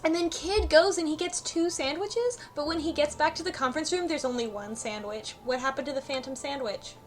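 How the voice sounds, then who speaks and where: A woman's voice: high voice, fairly quick, faint music behind. A woman co-hosting a podcast, chatting casually about a story game. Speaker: podcast co-host. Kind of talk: casual chat about a visual novel.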